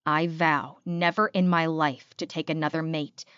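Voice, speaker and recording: A woman's voice: deep voice, fairly even intonation, normal speed, a bit bright, smooth, no room sound, muffled recording. A woman voicing a heartbroken, solemn male werewolf in an audiobook, speaking with resolve. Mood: solemn vow.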